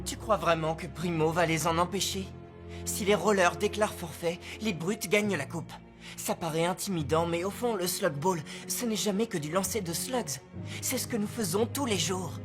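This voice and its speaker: A woman's voice: lowish voice, moderate pace, over music, lightly acted. An actress playing a determined, plucky young female team leader in a dubbed cartoon. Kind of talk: cartoon dub acting.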